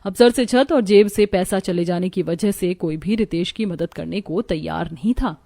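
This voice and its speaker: A woman's voice: fairly low pitch, moderate pace, gravelly, dark tone. A woman narrating a scripted Hindi moral story as the storyteller.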